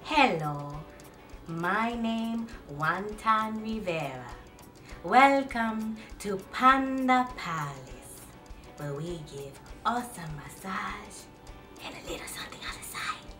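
A woman playing a coy, suggestive massage parlour hostess with a soft, sly delivery in a comedy sketch. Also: mid-range voice, expressive, takes it slowly, distant mic, faint music behind. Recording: echoey room.

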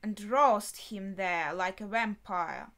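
A woman in her twenties reacting casually on camera in a small room, thinking aloud in a halting way. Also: medium pitch, a bit bright. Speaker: reaction video host. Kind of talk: casual spoken reactions to an anime episode. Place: small bedroom, indoors.

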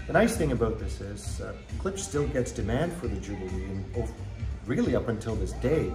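A man in his fifties giving an unscripted, conversational product presentation, explaining as he goes. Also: lowish voice. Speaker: company representative presenting a product. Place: hotel conference room at a trade show. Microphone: camera mic a few steps away. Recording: roomy sound with background music.

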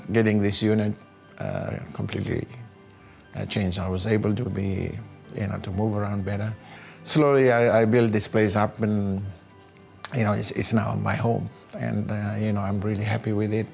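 An older man from a small island, telling his personal story in an interview in a reflective, halting way. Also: on the low side, creaky, darkish tone. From small Pacific island north of Fiji. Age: older, about 60. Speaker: housing tenant giving a testimonial. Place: small living room at home, indoors. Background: background music.

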